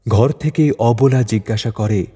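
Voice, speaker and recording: Casting: male literary narrator, mid-pitched, soft dark tone, heavy reverb with music beneath. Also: a little slow, slightly rough. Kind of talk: scripted literary story narration. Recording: long reverb over music bed.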